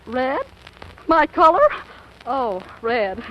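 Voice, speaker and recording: Teenage American girl, radio comedy: high, swooping voice, giggly, affected, excitable. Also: very slow, heavily acted. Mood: flustered, giddy. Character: excitable 15-year-old girl putting on grown-up airs. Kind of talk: 1940s radio comedy acting. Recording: muffled, noisy old radio recording.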